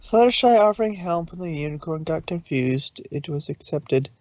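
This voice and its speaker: A man's voice: fairly high pitch, lively intonation, normal speed, a little mellow, no room sound, dull audio. A man narrating a fan fiction story from a script.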